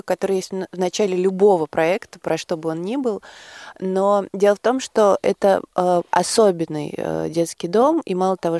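Woman on a radio interview, kinda low and creaky, hesitant, searching for words as she explains. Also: a little mellow, no room sound, clean studio sound. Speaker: interview guest, project participant.

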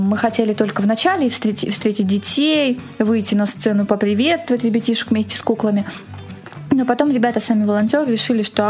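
A woman with a fairly high voice talks casually in an interview, recounting an event she organised. She tells it in an animated, repetitive way, over some background music. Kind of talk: casual spoken account in an interview.

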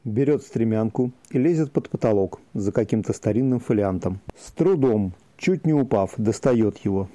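A man's voice: on the low side, animated, normal speed, hardly any pauses, warm tone. A man reading scripted stage narration for an audio play. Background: music.

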